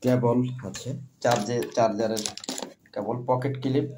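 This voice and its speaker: A man with a Bangladeshi accent gives a product demonstration as a seller, speaking in halting, repetitive phrases. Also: mid-range voice, steady tone, heavy background noise. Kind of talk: product demonstration sales pitch.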